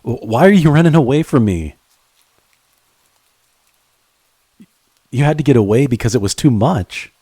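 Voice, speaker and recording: A man playing a devoted friend turned lover in an intimate romantic audio roleplay, speaking earnestly and haltingly to the listener. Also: medium pitch, warm tone, slightly rough, dry recording. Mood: alarmed, exasperated. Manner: halting, earnest, with stammered repeats.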